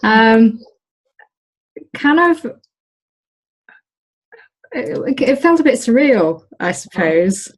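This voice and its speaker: A British woman talking casually in an interview as the owner of an olive grove, pausing often with ums and restarts. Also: on the high side, big pitch swings, rough recording. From United Kingdom. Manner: hesitant, many ums and restarts.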